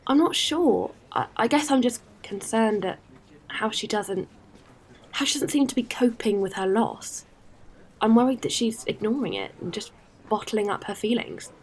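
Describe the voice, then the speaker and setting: A woman playing a caring, earnest space traveler in an audio drama, speaking with gentle hesitancy. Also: fairly high pitch, expressive, moderate pace, slightly rough. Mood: worried.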